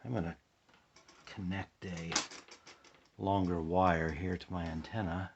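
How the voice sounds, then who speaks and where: Dull sounding audio, deep husky american guy kinda sleepy, just casually talking thru what hes doing, low key. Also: fairly even intonation, background noise. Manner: low-key, unhurried, thinking aloud. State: a little sleepy. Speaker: hobbyist demonstrating a shortwave radio. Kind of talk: casual hands-on demonstration chat. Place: indoors at a tabletop, close to the camera. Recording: dull, muffled home recording.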